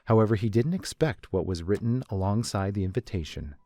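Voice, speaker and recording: A man's voice: lowish voice, brisk, mellow, no echo. A man reading scripted story narration in the third person as the narrator.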